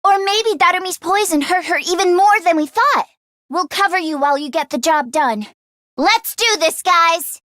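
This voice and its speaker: Very high-pitched nervous teenage game girl. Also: normal speed, no room sound, studio quality. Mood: determined. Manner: expressive, casual youthful phrasing. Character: teenage girl, nervous, queasy, handy academy fighter. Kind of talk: English video game voice acting.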